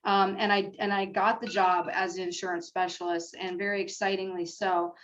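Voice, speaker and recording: Middle-aged woman, earnest and halting. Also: mid-range voice, level tone, fairly bright tone, muffled recording. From South Florida. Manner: earnest, hesitant with many fillers. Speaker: school district HR manager, panelist. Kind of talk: career panel talk.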